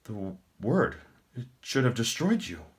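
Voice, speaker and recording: A man voicing an exhausted, defiant captive prince in a storybook, with a weak, hushed voice. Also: mid-range voice, big pitch swings, measured pace, pitched above the performer's own voice. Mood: despairing. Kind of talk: audiobook character acting.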